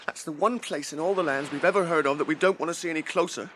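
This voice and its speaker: An actor playing a loyal, grumbling hobbit servant in a radio drama, blunt and homely. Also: male voice, a little high, quick pace, fairly bright tone, a little hiss or hum, natural voice. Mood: glum grumbling. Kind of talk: 1980s British radio drama acting.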